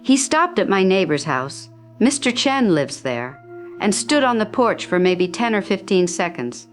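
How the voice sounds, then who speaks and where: A woman playing a frightened resident in a radio drama, giving an eyewitness account in short, halting phrases. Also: medium pitch, sing-song, faint music behind. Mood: shaken, fearful. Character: frightened female resident hiding at home.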